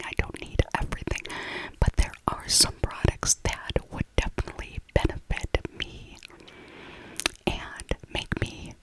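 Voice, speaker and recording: A middle-aged woman whispering a casual, rambling ASMR shopping voiceover, softly repeating her phrases. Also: low-quality recording. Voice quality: soft unvoiced whisper. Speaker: ASMR vlogger.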